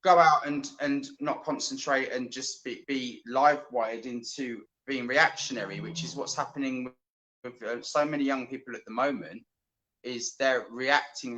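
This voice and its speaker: A man's voice: mid-range voice, pushed and bright, poor audio. A man from London, a guest on a live chat, talking in an opinionated, joking, anecdotal way.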